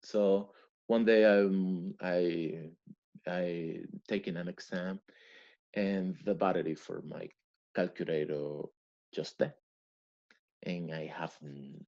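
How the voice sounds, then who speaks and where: A man from Venezuela whose first language is Spanish, a guest in a casual interview who speaks halting English and often repeats words. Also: sits low, creaky, darkish tone, muffled recording.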